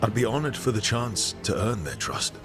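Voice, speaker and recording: An actor playing a supportive, wry male hero in a fantasy video game, calm and lightly teasing. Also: lowish voice, normal speed. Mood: sincere. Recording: loud music behind the voice.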